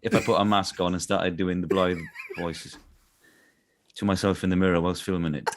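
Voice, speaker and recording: A man with a very low, deep voice talks easily as a guest on a video call. He jokes about himself in a relaxed, unhurried way. He sounds like a young adult. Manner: laid-back, self-mocking humor. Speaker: voice actor guest. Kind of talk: casual interview chat.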